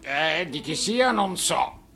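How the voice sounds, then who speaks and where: A man playing a stubborn, haggling fisherman in a storybook tale, with an offhand, drawn-out delivery. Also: high voice, expressive, bright, forceful tone, soft background music, full character voice. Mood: sly, offhand. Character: stubborn, haggling fisherman selling his catch.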